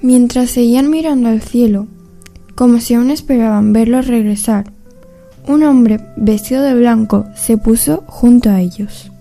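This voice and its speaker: A woman narrates a radio play with reflective, measured phrasing. Her voice is slightly high yet very soft and dark in tone, over faint music.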